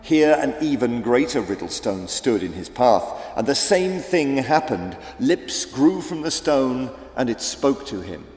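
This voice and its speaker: A man narrating a children's audiobook as dramatic, deliberate, theatrical storytelling. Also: medium pitch, big pitch swings, a little mellow. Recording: echoey reverb with faint music.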